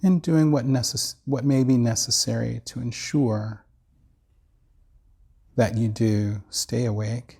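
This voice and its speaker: Male meditation guide: mid-pitched, gravel-edged, slow and measured, soothing delivery with plenty of space between phrases. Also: fairly even intonation, warm tone. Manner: measured, soothing, with long pauses. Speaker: meditation teacher. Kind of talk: guided body scan meditation.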